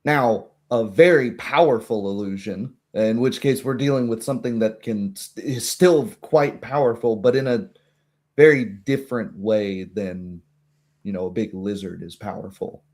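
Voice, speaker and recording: A man voicing a small, blunt gnome woman in a tabletop game, rambling informally and haltingly as she explains things. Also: fairly low pitch, a little mellow, close to an everyday voice. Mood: musing, uncertain. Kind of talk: tabletop roleplay dialogue.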